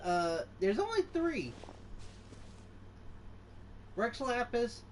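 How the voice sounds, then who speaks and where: A man hosting a gameplay video, improvising in a halting, hesitant way. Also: very high voice, wildly swooping pitch, heavy background noise. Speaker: gameplay channel host. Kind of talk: reading along and improvising over gameplay.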